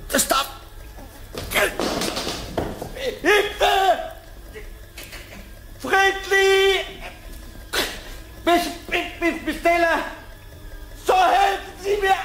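An actor playing an earnest, conciliatory scientist in a 1980s radio play. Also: male voice, very high-pitched, extremely slow, long pauses, fairly bright tone, exaggerated voice, low-quality recording. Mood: panicked. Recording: faint background ambience noise.

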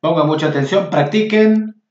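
Man, language teacher, slow, patient, repeats phrases, echoey room, lo-fi sound. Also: fairly high pitch, a little mellow. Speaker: Spanish teacher. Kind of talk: reading workbook exercises aloud. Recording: roomy, dull lo-fi sound.

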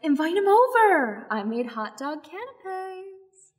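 A woman's voice: very high-pitched, sing-song, takes it slowly, heavily acted. A woman playing a doting, coaxing middle-aged mother in a radio play.

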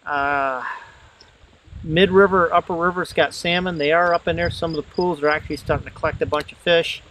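The folksy American guy at the riverbank who tells you how the day is going, voice a bit high, faint insect noise around him. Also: fairly even intonation, a little fast, a bit bright, rough recording. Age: middle-aged. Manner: folksy, plain-spoken. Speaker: fishing guide. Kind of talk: casual spoken fishing report. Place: outdoors in an open riverside meadow. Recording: outdoor insect and background noise.

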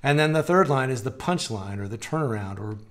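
Guy in his 40s explaining guitar stuff, laid back, a bit gravelly, clears his throat now and then. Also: mid-range voice, a little fast. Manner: relaxed, conversational, explaining with hand gestures and demonstrating. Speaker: guitar teacher. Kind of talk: live-streamed guitar lesson. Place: home music room with guitars on the walls.

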